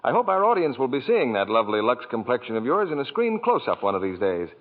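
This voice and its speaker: A man announcing a 1940s radio soap commercial in a smooth, persuasive tone. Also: medium pitch, big pitch swings, fast. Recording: tinny 1940s radio broadcast.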